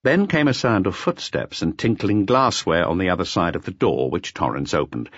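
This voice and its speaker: A man's voice: sits low, expressive, moderate pace, husky, no echo. A man narrating a scripted audiobook in a dramatic storytelling style. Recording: slightly dull, dry audiobook recording.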